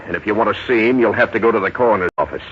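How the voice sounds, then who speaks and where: A man playing a shrewd American police sergeant from a 1950s radio play. He is brisk and skeptical, his pitch moving freely, on a muffled old recording with faint noise. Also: animated, close to an everyday voice. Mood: businesslike. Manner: brisk, matter-of-fact, skeptical. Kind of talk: 1950s radio drama acting.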